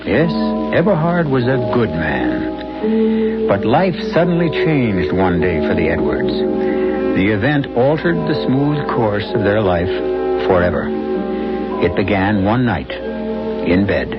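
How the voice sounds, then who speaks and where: Ominous narrator, seventies radio play, mid-pitched, varied intonation, muffled recording. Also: male voice, sing-song, slow, loud music behind the voice. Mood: portentous. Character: measured, ominous radio storyteller. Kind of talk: 1970s radio drama narration. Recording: dull 1970s radio recording.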